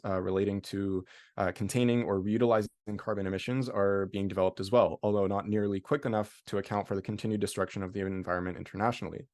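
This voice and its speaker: A man's voice: lowish voice, a little mellow, no room sound, studio quality. A man, a graduate student, reading an academic paper aloud with frequent hesitations over a video call.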